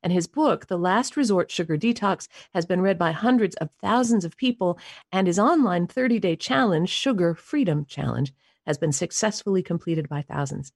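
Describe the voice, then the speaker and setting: Slightly low-voiced older female radio host. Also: moderate pace, creaky, darkish tone, husky, dry recording, studio quality. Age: middle-aged or older. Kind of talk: scripted introduction and interview.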